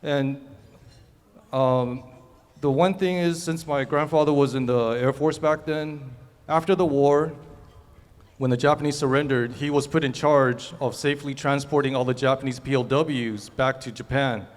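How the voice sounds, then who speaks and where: A man from Taiwan giving an earnest, somewhat halting speech at a forum. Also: mid-range voice, steady tone. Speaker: guest speaker. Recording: reverberant hall.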